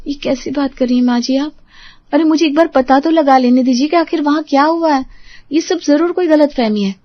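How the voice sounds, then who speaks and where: A woman's voice: sits high, fairly quick, no echo, natural voice. A woman playing a polite young married daughter-in-law in a Hindi family drama, earnest and deferential, on a slightly muffled track. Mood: shocked, pleading.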